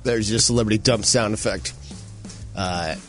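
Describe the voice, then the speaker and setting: A man's voice: lowish voice, music in the background. A man with a nasal Chicago accent hosting a morning radio show, bantering and joking with his co-host. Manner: animated, jokey, quick to laugh.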